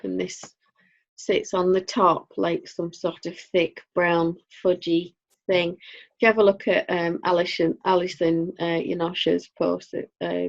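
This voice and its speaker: A woman's voice: lowish voice, gravelly. Northern English woman co-host, easy rambling chat, dry wit, lo-fi dull sound. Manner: chatty, rambling, dry humor. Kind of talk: casual chat. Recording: dull lo-fi audio.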